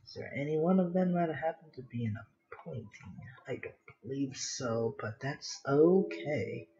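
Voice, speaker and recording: A man with a slightly high voice talks casually to himself and his viewers as he draws on a livestream. He rambles along with a playful, dramatic streak. The microphone sound is a little dull and lo-fi. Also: animated, moderate pace, warm tone. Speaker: streamer, digital artist. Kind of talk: casual livestream chat while drawing.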